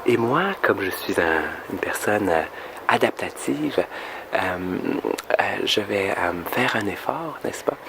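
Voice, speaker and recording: A man from Canada putting on a European French accent with some Québécois turns, speaking as a singer and vocal coach in a casual, humorous vlog, mock-earnest and halting as he drifts into asides. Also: lowish voice, expressive, vocal fry, noisy background. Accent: French, deliberately European French with some Québécois turns.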